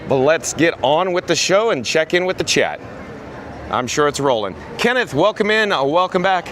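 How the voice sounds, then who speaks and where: A man with a hoarse, raspy voice hosting a chatty live stream outdoors at a theme park and talking into a handheld phone. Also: fairly high pitch, very animated, moderate pace, fairly bright tone, slight background noise. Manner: upbeat, chatty, reads chat aloud. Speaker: vlog host.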